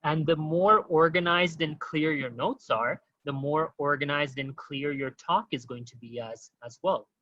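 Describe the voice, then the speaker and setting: A man runs an online exam-prep webinar, moving quickly through his points and talking to viewers in a casual way with plenty of fillers. His voice is bright and sits a little high. The sound is dry and dull, like low-grade webinar audio. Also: no echo. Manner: quick, informal, addresses audience as guys, many fillers.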